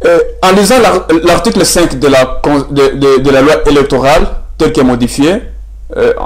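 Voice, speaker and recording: A man with a Congolese French accent hosting a formal interview as a journalist, speaking haltingly and repeating words as he frames his questions. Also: fairly high pitch.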